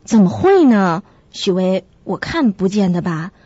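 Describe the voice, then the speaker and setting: A woman playing a sensible, caring young flatmate who narrates and acts in a radio drama, with a husky, breathy voice. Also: medium pitch, unhurried, no room sound, dull audio. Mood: reassuring.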